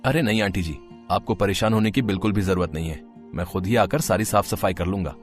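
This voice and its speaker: Man playing a deep-voiced, polite young husband. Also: fast talker, faint music behind, lightly acted. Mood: eager, polite. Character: polite, helpful young son-in-law and tenant.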